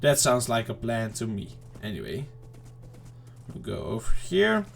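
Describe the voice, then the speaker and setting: A man giving casual, rambling let's-play commentary to viewers, his throat slightly off and clearing it now and then. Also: medium pitch, wildly swooping pitch, a little slow. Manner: rambling, chatty self-talk to viewers. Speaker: gaming channel host.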